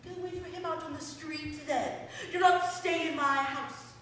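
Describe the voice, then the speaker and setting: A woman's voice: high voice, steady tone, normal speed, fairly bright tone. Woman playing a furious old miser, echoing hall. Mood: suspicious, scolding. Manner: bullying, exclamatory scolding. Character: furious, suspicious old miserly father, scolding and paranoid. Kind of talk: classical stage farce acting. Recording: echoey hall, distant mic, noisy.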